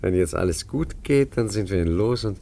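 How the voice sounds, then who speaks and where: An actor playing a suave, cunning gentleman adventurer in a German radio play, urbane, wry and smoothly condescending as he recounts his exploits. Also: sits low, lively intonation, normal speed, background noise. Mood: pleased, smooth.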